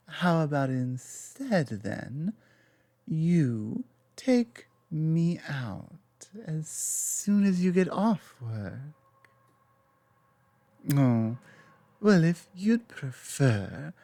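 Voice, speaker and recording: A woman playing a loving, gently dominant lamia girlfriend in an intimate ASMR roleplay, speaking softly and tenderly with hesitant pauses. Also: deep voice, no room sound, clean studio sound, exaggerated voice. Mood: playful, coy. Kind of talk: ASMR roleplay, intimate one-sided conversation.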